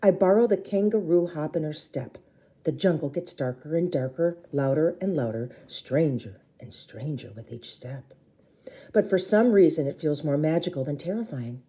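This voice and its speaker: A woman reading a children's book aloud in an expressive, theatrical way, acting out the dialogue. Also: sits low, moderate pace. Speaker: storybook reader.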